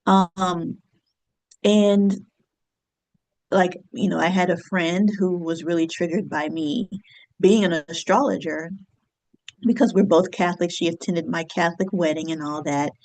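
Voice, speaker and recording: A woman in her thirties speaks in a lowish, reflective voice as an astrologer and business coach. She talks conversationally to her audience, with frequent fillers and soft laughs. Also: fairly even intonation, measured pace, long pauses, low-quality recording. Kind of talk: casual solo talk to camera.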